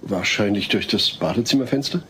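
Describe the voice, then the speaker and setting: A man's voice: on the low side, a little fast, bright, forceful tone, a little hiss or hum. An actor playing a patient, well-meaning family father who reasons earnestly in a comedy audio drama. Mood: flustered. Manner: earnest, reasoning, hesitant when awkward.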